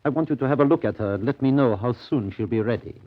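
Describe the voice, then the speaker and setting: An actor playing a grave, evasive colonial trading station manager, measured and ominous in a radio drama. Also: male voice, medium pitch, natural voice. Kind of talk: 1930s radio drama acting. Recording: dull, lo-fi 1930s radio recording.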